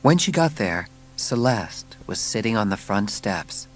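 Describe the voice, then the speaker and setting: Man, low voice, scripted dramatic storytelling, narrator, faint music behind. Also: big pitch swings, measured pace.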